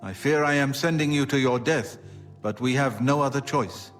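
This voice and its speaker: An actor playing a grave, dutiful grand master of a knightly order in a video game, measured and formal. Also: male voice, medium pitch, a bit bright, soft background music, natural voice. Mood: solemn, foreboding.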